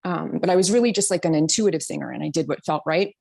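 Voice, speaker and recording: A woman in her thirties from New York, a singer and voice teacher, telling her story in a relaxed interview with many ums and small laughs. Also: low-pitched, quick pace, gravelly. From New York City. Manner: chatty, frequent ums and soft laughs. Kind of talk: casual interview talk.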